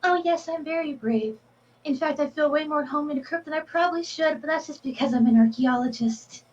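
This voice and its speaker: A woman's voice: sits high, fairly quick, a little mellow, pitched above the performer's own voice, natural voice. A woman voicing a tiny, cheerful winged archaeologist who talks sweetly and sarcastically. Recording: distant dull lo-fi mic.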